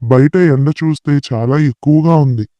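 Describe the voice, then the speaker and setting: A man voicing a vain, boastful lion king in an animated children's story, pompous and bullying. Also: on the high side, normal speed, dry recording, lo-fi sound, close to an everyday voice. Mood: smug, drowsy. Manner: pompous, threatening. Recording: music behind the voice.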